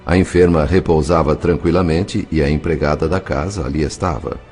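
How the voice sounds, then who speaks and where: A male narrator with a Brazilian accent reads a scripted story. His voice is deep, and soft music plays behind him. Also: fairly even intonation, fairly quick, breathy edge.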